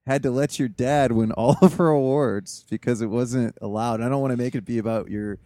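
A man's voice: mid-range voice, steady tone, brisk, dark tone, no echo. A man hosting a casual interview, asking rambling, hesitant questions full of fillers. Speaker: podcast host.

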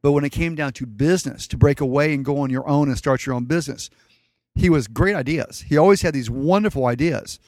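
A man's voice: on the high side, fairly quick, darkish tone, no echo. A middle-aged man telling family stories in a blunt, wry way, a guest in casual conversation. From Colombia. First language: Spanish. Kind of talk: casual storytelling.

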